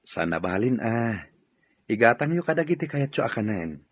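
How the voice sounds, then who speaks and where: A man's voice: medium pitch, big pitch swings, moderate pace, no echo. Brief: reassuring husband in a radio play, dry close sound, lo-fi, a man acting. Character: adult son and husband working abroad. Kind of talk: Ilocano radio drama acting. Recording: dull lo-fi audio.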